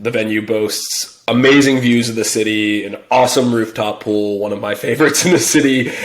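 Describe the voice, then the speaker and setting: A man hosting an interview, upbeat and enthusiastic, with frequent fillers. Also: fairly low pitch. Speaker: interview host. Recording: roomy echo.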